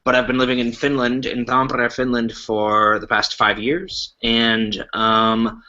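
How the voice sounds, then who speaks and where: A man's voice: medium pitch, little pitch movement, measured pace, sharp tone, highs cut off. A man with an American accent talking casually as an interview guest over an internet call. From United States. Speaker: guest, improv teacher and performer. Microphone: online video call.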